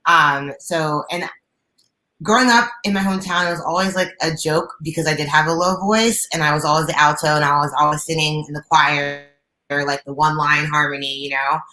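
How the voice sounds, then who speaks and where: A young woman with a low, raspy alto voice, a guest singer talking openly on a video call from her living room, with many fillers and restarts. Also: fairly even intonation, brisk, sharp tone, reverberant, low-quality recording. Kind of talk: casual interview chat. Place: bright living room at home.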